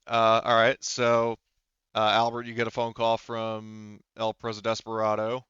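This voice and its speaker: A man's voice: fairly low pitch, completely flat delivery, unhurried, a bit bright, clear, resonant tone, dry recording, muffled recording. A man running a tabletop roleplaying game, narrating and explaining in a casual, informal way. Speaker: game master.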